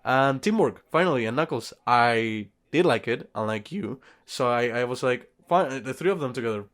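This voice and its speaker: A man's voice: medium pitch, expressive, moderate pace, a bit bright. A man co-hosting a podcast, giving blunt, informal opinions on films in casual conversation. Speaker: podcast co-host. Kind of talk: casual film review chat.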